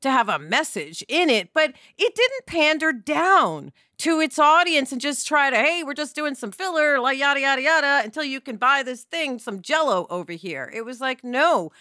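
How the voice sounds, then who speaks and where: A woman's voice: high voice, expressive, moderate pace, few pauses, pushed and bright, no room sound, clean studio sound. A woman hosting a podcast, talking in a casual, emphatic, conversational way and often checking in with the listener. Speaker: podcast host; television actress.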